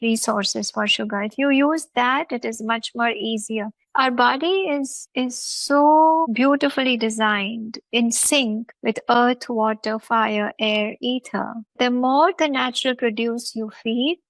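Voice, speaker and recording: A woman's voice: fairly high pitch, steady tone, slow, hardly any pauses, no echo, rough recording. A woman with an Indian accent hosting a talk show, explaining at length in an emphatic way, with repeated phrases and lists. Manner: emphatic, repetitive listing. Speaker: host.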